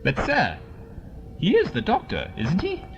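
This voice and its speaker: Man playing a very animated, eager lieutenant. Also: sits high, unhurried, full character voice. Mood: questioning. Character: loyal, curious military lieutenant, eager and deferential. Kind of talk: audio drama acting. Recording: dull lo-fi with loud music behind.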